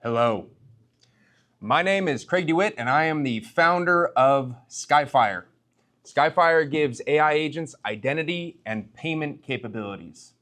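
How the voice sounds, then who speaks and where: A man's voice: on the high side, animated, unhurried, fairly bright tone. A man speaking as a startup founder at a tech meetup, conversational, addressing the audience directly and posing rhetorical questions.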